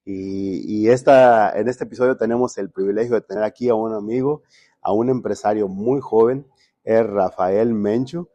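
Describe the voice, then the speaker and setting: A man's voice: lowish voice, normal speed, mellow, no room sound. A man from Mexico hosting a podcast interview, friendly and full of questions, often restarting his words. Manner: friendly, asks many questions, repeats words when restarting. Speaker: podcast host. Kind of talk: casual interview.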